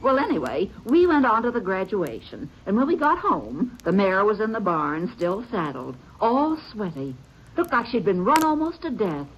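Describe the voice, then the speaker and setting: A woman's voice: medium pitch, sing-song, normal speed, dull audio, slight background noise, lightly acted. An actress playing a plainspoken rural stepmother in an old radio drama, talking in a drawling country way. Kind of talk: 1950s radio drama acting.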